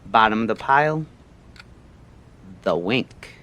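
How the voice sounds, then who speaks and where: A man narrating a scripted story like a late-night radio host, in a measured, theatrical way with short phrases and pauses. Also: fairly low pitch, lively intonation, bright, forceful tone.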